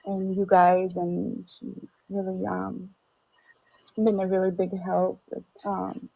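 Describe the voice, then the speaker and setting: A young woman from Ukraine, a podcast guest telling her own story in a halting, hesitant, soft-spoken way over a remote call. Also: medium pitch, completely flat delivery, gravelly, slightly rough, low-quality recording. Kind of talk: personal testimony.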